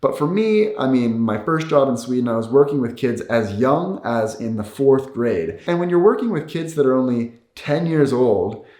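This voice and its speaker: A man's voice: medium pitch, lively intonation, normal speed, hardly any pauses, a little mellow. A man, a native English speaker, talks casually and explains things to viewers, repeating key words for emphasis. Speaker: video creator and host. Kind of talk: casual explanatory talk to camera. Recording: echoey room.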